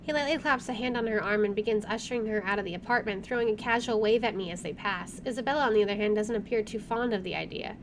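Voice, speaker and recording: A woman's voice: mid-range voice, fast talker, hardly any pauses, noisy background. A woman gaming streamer reading visual novel narration aloud and adding casual asides.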